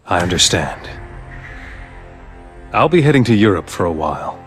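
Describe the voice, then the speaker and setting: A man's voice: sits low, sing-song, moderate pace, fairly bright tone, soft background music. A man voicing a stern, driven project director in a video game cutscene, curt and authoritative. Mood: businesslike.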